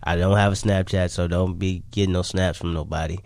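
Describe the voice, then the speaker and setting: A man's voice: low voice, steady tone, a little fast, darkish tone, no room sound, clean studio sound. A man chatting casually as a musician guest on a radio interview, slangy and repeating himself. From Chicago, United States. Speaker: musician guest, rapper and songwriter. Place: radio studio.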